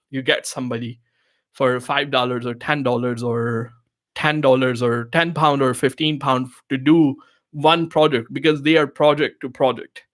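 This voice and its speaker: A man's voice: a little high, a bit bright. A man whose first language is Urdu, hosting a live question-and-answer stream and giving casual business advice in loose, filler-heavy English. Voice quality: non-native English phrasing. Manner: conversational, many fillers and restarts, asks "you know what I mean".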